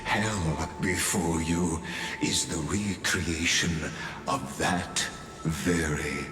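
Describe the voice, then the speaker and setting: A taunting, theatrical villain from a video game, narrating doom with pompous relish. The voice is low and extremely unhurried, ringing in an echoey hall over music. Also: male voice, steady tone, heavily acted. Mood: grim irony. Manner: pompous, mocking, drawn-out delivery. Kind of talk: dramatic scripted game monologue.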